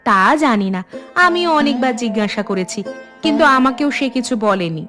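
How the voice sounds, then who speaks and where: Woman acting a gentle, higher-voiced village aunt. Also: animated, brisk. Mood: resigned. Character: gentle, cautious village aunt, a married homemaker. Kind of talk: Bengali cartoon voice acting. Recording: prominent plucked-string music under voice.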